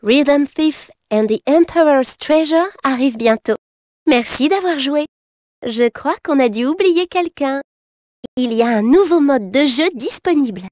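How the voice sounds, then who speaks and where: A woman playing a gentle, earnest young girl in a video game, with a soft, sincere and expressive delivery. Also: sits high, unhurried, no room sound, studio quality. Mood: cheerful.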